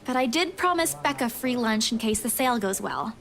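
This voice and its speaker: High-voiced, hesitant young agent. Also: female voice, animated, a little fast, fairly bright tone. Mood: hesitant, reserved. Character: reserved, frugal young woman, junior real estate agent. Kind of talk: visual novel voice acting.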